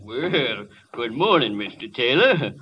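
A man playing a folksy, nosy butcher who chuckles through his small talk, with a husky voice and lively pitch. It comes across as an old radio drama with dull, muffled sound. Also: slow pace, exaggerated voice. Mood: folksy chatty. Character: chatty, nosy neighborhood butcher, middle-aged man.

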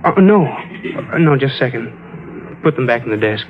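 An actor playing a troubled husband in a mid-century radio play, in a medium-pitched voice. He is tense and easily irritated, and the old recording sounds dull and muffled. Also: animated, moderate pace, close to an everyday voice. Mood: weary, irritable. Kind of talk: 1940s-50s radio drama acting.

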